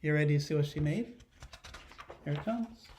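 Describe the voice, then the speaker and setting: A middle-aged man, a teacher, reading a children's picture book aloud expressively, pausing to put questions to his listeners. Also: fairly high pitch, a little mellow, slight background noise. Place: living room at home.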